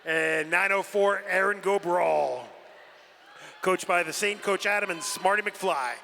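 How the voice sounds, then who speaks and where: High, gravelly male arena announcer in echoing hall. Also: sharp tone. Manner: measured, drawn-out announcing. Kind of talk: team roster introduction over a PA. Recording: reverberant hall PA with some noise.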